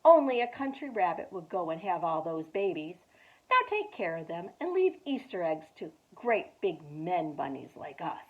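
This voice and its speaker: A woman's voice: on the low side, very animated, moderate pace. A woman doing sneering big boastful rabbits. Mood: mocking. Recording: tinny phone-like sound.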